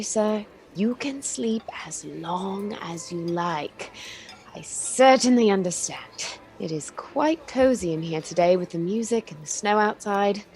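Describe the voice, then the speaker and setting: A woman's voice: medium pitch, close to an everyday voice. A woman playing a chatty, kindly, tired cafe waitress in an old-fashioned radio play, polite and confiding.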